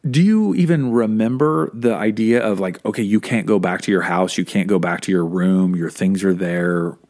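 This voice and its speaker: Guy with a lowish voice doing podcast interview, upbeat and encouraging. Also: moderate pace, a little mellow.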